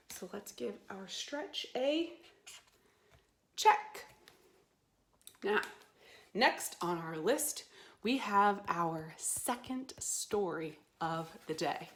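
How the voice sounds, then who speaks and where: Slow, echoey woman hosting children's storytime. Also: mid-range voice. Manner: playful, simple, exaggerated expressive delivery for young children. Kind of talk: children's storytime, guided stretching and read-aloud.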